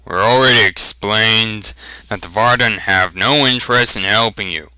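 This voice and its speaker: A man voicing a fat, pompous traitor who argues smoothly and emphatically for the king in a dramatic story reading. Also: lowish voice, moderate pace, bright, forceful tone, dry recording, poor audio. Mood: persuasive. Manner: smooth-talking, pleading, emphatic.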